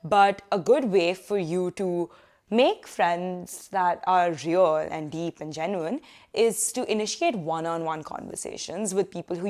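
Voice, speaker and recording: Young woman, slightly low voice, chatty advice vlog, animated, living room, camera mic. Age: young adult. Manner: chatty, animated hand gestures, quick asides. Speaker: student vlogger. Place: living room sofa, indoors.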